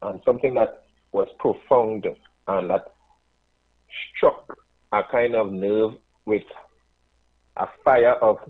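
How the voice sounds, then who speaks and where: A man in his thirties with a Tobagonian accent, calling into a radio show and speaking earnestly with halting restarts. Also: lowish voice, steady tone, breathy edge. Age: 30s. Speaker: caller. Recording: phone line.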